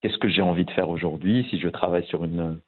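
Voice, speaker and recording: Hesitant deep-voiced man in his fifties. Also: fairly even intonation, quick pace, slightly rough, narrow, tinny audio. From Morocco. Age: 50s. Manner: hesitant, many fillers and restarts. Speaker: guest, pharmacist and visual artist. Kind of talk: casual interview talk.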